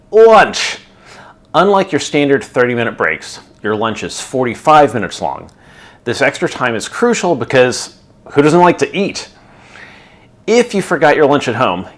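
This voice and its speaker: A man with a somewhat low voice narrates a scripted explainer, clear and steady. He sounds middle-aged and speaks like an instructor walking a newcomer through a workplace routine. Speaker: channel host explaining air traffic control.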